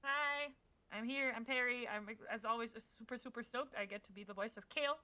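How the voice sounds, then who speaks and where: A woman's voice: on the high side, brisk, pushed and bright. A woman chatting casually on a livestream with bubbly enthusiasm, like a guest introducing herself. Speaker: voice actor and streamer.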